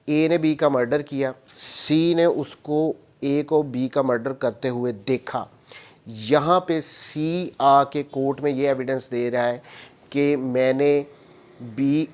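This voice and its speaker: A man's voice: mid-range voice, a little mellow. Pakistani man explaining like a lecturer. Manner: repeats and rephrases points, switches into English legal terms. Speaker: law lecturer, advocate. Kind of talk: explanatory lecture with worked examples.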